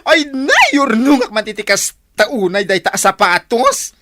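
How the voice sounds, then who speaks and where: A woman with an Ilocano accent playing an excitable, showy, gossipy office friend in a radio comedy, full of gushing exclamations. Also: on the high side. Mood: showy delight. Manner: shrieking exclamations, gushing, drawn-out delivery.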